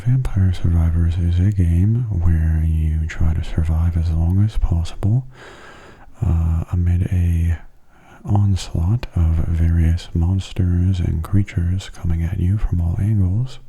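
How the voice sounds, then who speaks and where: A man giving soft-spoken ASMR gameplay commentary in a hushed, breathy, unhurried and casual way, close to the microphone. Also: highs cut off. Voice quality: breathy, husky low voice. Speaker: gaming ASMR video host. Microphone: close mono microphone.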